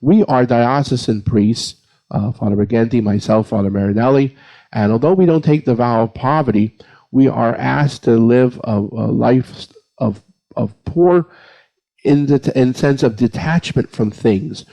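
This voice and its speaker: Mellow man explaining conversationally. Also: medium pitch, a little slow, gravelly, rough recording. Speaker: diocesan priest on a panel. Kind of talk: informal explanatory answer to a question.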